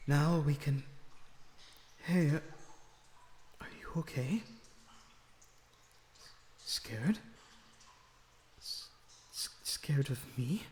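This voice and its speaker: A man playing a gentle, earnest vampire prisoner in an ASMR roleplay, soothing and pleading in a breathy, slightly formal way. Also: fairly high pitch, slight background noise. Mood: concerned, gentle. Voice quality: breathy, often dropping to a whisper. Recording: roomy echo on the voice.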